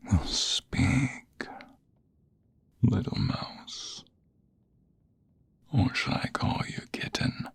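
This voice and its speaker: A man playing a smug, seductive office rival in an intimate ASMR roleplay, with a husky, breathy half-whisper and slow, teasing, flirtatious delivery. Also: fairly low pitch, wildly swooping pitch, full character voice. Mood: teasing, amused.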